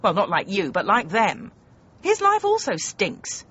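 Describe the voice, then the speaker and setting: A woman's voice: medium pitch, wildly swooping pitch, unhurried, a bit bright, breathy edge, lo-fi sound, exaggerated voice. A woman with a British accent acting a blunt, patronising television producer in a radio comedy drama, emphatic and cajoling. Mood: scornful, emphatic.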